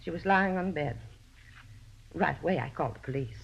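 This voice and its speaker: A woman's voice: low voice, moderate pace, vocal fry, slightly rough, exaggerated voice. An actress playing a touchy, self-important American landlady in a 1950s radio drama, talkative and defensive. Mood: explaining. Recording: muffled old radio recording.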